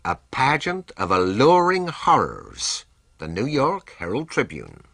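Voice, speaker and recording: A man giving a dramatic reading of literary and press passages, slow and expressive. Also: medium pitch, creaky, no room sound, studio quality. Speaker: reader of quoted texts.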